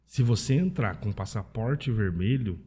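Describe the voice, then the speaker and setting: A Brazilian man with a husky voice talking casually as a podcast guest, informal and anecdotal, often checking in with tag questions. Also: on the low side, animated, measured pace, dark tone. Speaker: podcast guest, Italian citizenship specialist. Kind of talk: casual conversational interview.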